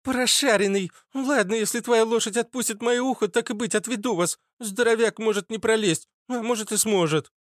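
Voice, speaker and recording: A man voicing a defiant, foul-mouthed teenage girl with a squeaky, snappy voice in a narrated story. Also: normal speed, hardly any pauses, no room sound, clean studio sound, natural voice. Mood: impressed, cheeky. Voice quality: squeaky, shrill. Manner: snappy, hissing, insolent.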